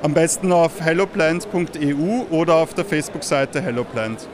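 A man explaining his product at a fair stand, talking fast and casually. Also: on the high side, a crowd in the background. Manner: quick, casual, laughs often. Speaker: startup founder at a fair stand. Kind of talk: interview answers. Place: busy indoor fair hall.

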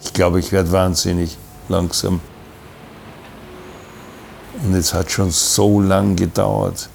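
A weary shipmate played by a man confides in a low, creaky voice. He speaks slowly and naturally, worn down by cabin fever, with faint ambient noise behind him. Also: flat delivery, a little mellow, heavily acted. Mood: weary despair. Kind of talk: audio drama, natural speech.

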